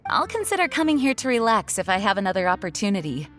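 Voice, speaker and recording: An actress playing a dutiful, earnest young woman leader in a video game, speaking politely and formally in a measured way. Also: medium pitch, very animated, a bit bright, soft background music. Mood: grateful, sincere.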